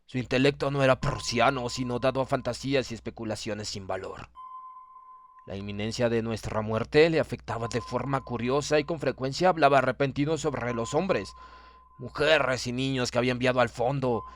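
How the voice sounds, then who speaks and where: A man narrating a horror audiobook in the first person, reading solemnly and deliberately with theatrical emphasis. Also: mid-range voice, fairly even intonation, a little hiss or hum. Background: music.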